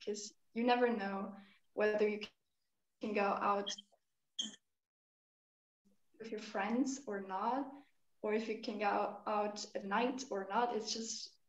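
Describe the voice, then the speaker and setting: A seventeen-year-old girl from Germany chatting casually on a video call, rambling and filling gaps with 'like'. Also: medium pitch. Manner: chatty, uses 'like' and 'kind of'. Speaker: guest. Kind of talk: casual online chat. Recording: muffled, echoey room over a call.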